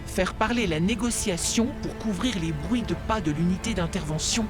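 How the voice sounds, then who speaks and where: An actor playing a respectful, eager, flattering soldier in an audio drama, addressing a superior. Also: male voice, high voice, normal speed, lightly acted. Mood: deferential. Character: deferential, flattering soldier serving a commander. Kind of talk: French audio drama acting. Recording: loud music behind the voice.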